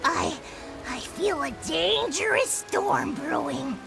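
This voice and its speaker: Uma mulher dublando uma minúscula criatura espiritual, antiga e tímida, que gagueja de nervoso. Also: female voice, sing-song, takes it slowly, over loud music, full character voice. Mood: nervous. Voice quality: squeaky, creaky high voice. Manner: nervous, stammering. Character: tiny timid ancient male spirit creature. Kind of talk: game dub acting.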